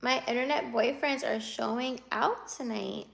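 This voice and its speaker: A woman's voice: fairly high pitch, unhurried, vocal fry, fairly bright tone. A woman in her twenties chatting on a livestream, teasing and laughing as she answers viewer comments aloud. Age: 20s. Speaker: livestreamer reading chat. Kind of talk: casual live chat.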